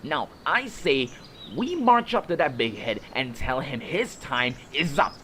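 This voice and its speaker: A man's voice: medium pitch, sing-song, measured pace, sharp tone, a little hiss or hum. A man playing a resentful, whiny fantasy creature in an audio drama, griping and blustering as a would-be mutineer. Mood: defiant.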